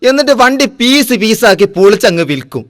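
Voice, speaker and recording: A man's voice: high voice, sing-song, brisk, a bit bright, dry recording, clean studio sound. A man voicing a teasing, know-it-all friend who talks in warnings and jibes in a comic cartoon. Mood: mocking, warning. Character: mocking, know-it-all male village friend.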